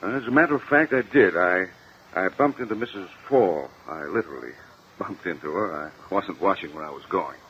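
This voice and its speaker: An actor playing an uneasy, evasive businessman, halting and defensive, in a 1950 radio courtroom drama. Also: low voice. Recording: muffled, hissy old radio recording.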